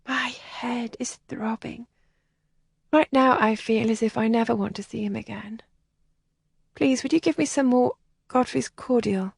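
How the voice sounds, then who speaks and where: A woman narrator voicing a shy, distressed young woman who speaks in a breathy, trembling, often whispered voice. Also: on the high side, normal speed, darkish tone, no echo, clean studio sound, voice pushed up. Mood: pleading. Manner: pleading and anxious. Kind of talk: audiobook character voicing.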